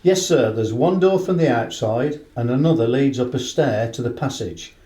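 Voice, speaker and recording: An actor playing an earnest, worried horse trainer in a radio play, plain-spoken and respectful. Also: male voice, mid-range voice, normal speed, warm tone, close to an everyday voice. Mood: earnest, uneasy. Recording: roomy, echoing radio play recording.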